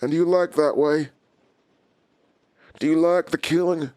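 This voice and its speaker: An actor playing a calm, menacing inhuman villain in an audio drama, with a deliberate, taunting manner. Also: male voice, a little high, smooth, no room sound, close to an everyday voice. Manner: slow, deliberate, controlled, taunting.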